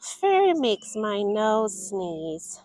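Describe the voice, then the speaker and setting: A woman voicing a weary, unyielding parent in a storybook, refusing firmly with drawn-out words. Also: mid-range voice, big pitch swings, a little mellow, no room sound. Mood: firm objection. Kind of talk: storybook read-aloud.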